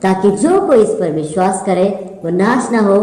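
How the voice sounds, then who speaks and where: A man's voice: high voice, very animated, moderate pace, dark tone. A man voicing a cocky, teasing boy puppet who co-hosts a children's puppet show. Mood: earnest. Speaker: co-host. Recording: echoey, faint music behind. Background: faint music.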